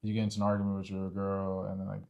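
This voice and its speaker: A man's voice: low voice, completely flat delivery, rapid-fire, creaky, mellow, lo-fi sound. A man co-hosting a casual discussion about relationships, talking in an informal, slangy way peppered with filler phrases like "you know". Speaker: podcast co-host.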